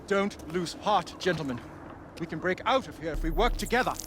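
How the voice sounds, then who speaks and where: Resolute male captive, high voice, encouraging, game scene with music. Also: animated, a little fast. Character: rallying male prisoner urging others to escape. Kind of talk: video game voice acting.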